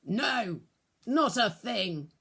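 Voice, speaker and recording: Storybook part: earnest, impulsive male fisherman acted by a female reader, plain emphatic speech, measured pace. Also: on the high side, sing-song, gravelly, fairly bright tone, breathy edge, pitched above the performer's own voice, full character voice. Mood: angry.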